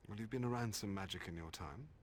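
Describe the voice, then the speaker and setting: An actor playing a bookish, courteous mentor in a video game, formal and practical. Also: male voice, lowish voice, quick pace, slight background noise, lightly acted. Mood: helpful. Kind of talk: video game drama acting.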